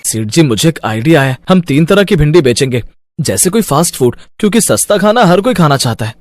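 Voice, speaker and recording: Man acting an earnest young son, lively voice. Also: fairly high pitch, fairly quick, dry recording. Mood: eager, determined. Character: earnest hardworking young son, a poor dhaba okra cook. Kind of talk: Hindi moral cartoon story acting.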